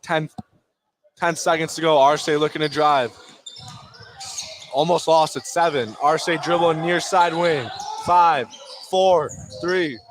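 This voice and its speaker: A man doing live play-by-play radio commentary of a high school basketball game in short, clipped phrases. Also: takes it slowly, long pauses, background noise. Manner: clipped phrases calling action as it unfolds. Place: indoor basketball gym, courtside.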